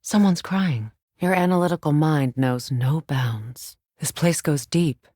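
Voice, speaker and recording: A regal warrior goddess with a low, dry-recorded voice. She is guarded and solemn, with a commanding, measured delivery and dry wit. It is dramatic acting for a fantasy video game. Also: warm tone, dry recording. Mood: guarded, watchful.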